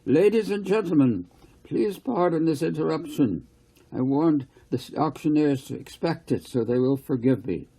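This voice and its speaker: Courteous mayor for an audiobook, played by a male narrator, formal announcer delivery with a husky edge. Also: fairly high pitch, lively intonation, moderate pace, warm tone, voice pushed up, heavily acted, poor audio. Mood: formal announcing. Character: courteous town mayor addressing crowd by loudhailer.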